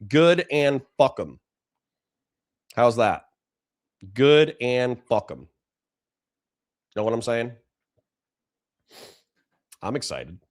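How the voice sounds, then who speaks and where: A man's voice: mid-range voice, sing-song. A man with a dry voice giving blunt, profane political commentary to a livestream chat. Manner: blunt, profane, fast restarts.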